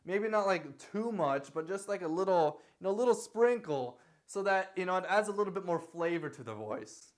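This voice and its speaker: A young man coaching singers with a fairly high, light speaking voice. He rambles casually, repeating himself and checking in with "okay?" as he explains. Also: expressive, normal speed, few pauses. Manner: loose, repetitive talk with many fillers and "okay?" checks; sings short lines to demonstrate. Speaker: singing teacher. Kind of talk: casual vocal lesson.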